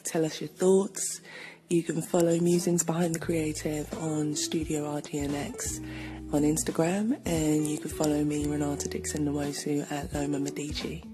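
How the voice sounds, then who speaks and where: A woman's voice: deep voice, warm tone, poor audio. A woman hosting a podcast, opening the episode in a reflective, conversational way with frequent fillers. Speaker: podcast host. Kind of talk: solo episode introduction.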